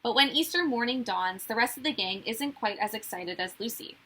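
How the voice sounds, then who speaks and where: A woman reading a children's storybook aloud as a teacher would, with expressive narration. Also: a little high, moderate pace.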